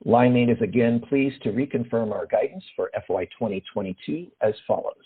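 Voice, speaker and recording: A man, a finance executive, reading a formal financial report in a steady manner over a phone line. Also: mid-range voice, a little fast, a little hiss or hum.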